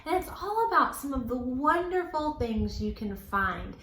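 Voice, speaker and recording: A woman leading an interactive storytime for young children in an animated, encouraging, sing-song way. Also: high voice, a little slow, roomy sound, heavy background noise. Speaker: children's library storytime host.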